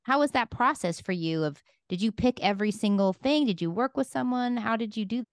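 A woman's voice: fairly high pitch, animated, a little fast, clean tone, no echo, studio quality. A woman interviewer talking casually, thinking aloud and piling up questions.

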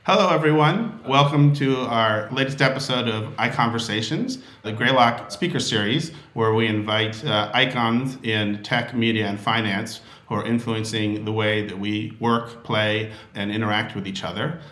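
A man's voice: fairly low pitch, a bit bright. Man hosting an interview in an echoey room. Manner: friendly, slightly halting with fillers. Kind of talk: hosted interview.

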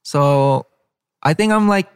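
A young man who is a guest in a casual interview, speaking in a soft-spoken, reserved way with hesitations and fillers. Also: on the high side, slow pace, clean tone, no echo, clean studio sound. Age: young adult. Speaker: K-pop group member, guest.

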